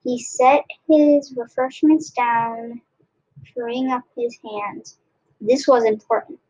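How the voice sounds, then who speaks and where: A woman reading a children's book aloud expressively, in a deliberate way with dramatic pauses. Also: a little high, steady tone, a little mellow, lo-fi sound. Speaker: read-aloud narrator.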